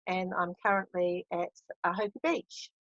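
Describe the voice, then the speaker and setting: A woman from New Zealand talks over a video call, her voice set a little low. She hesitates often, filling gaps with ums. The audio is dull and dry, with no room sound. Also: fairly even intonation, moderate pace, no room sound. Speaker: interviewee. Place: caravan at a beach.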